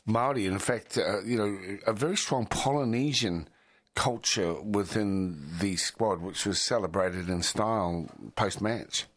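A man hosts a radio interview in a measured, slightly halting way. His voice sits very low. The sound is close and dry. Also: dry recording. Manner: measured, hesitant questioning. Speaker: radio host.

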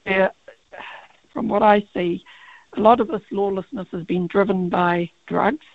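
A woman with a croaky, gravelly voice, a barrister speaking as a guest on a radio interview over the phone, halting with many fillers and restarts. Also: mid-range voice, fairly even intonation, dry recording. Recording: tinny phone line.

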